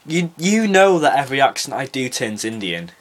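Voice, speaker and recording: A man's voice: medium pitch, big pitch swings, normal speed. A young man, a podcast co-host who cracks jokes. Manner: jokey, wisecracking. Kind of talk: casual chat. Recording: faint hiss and clicks.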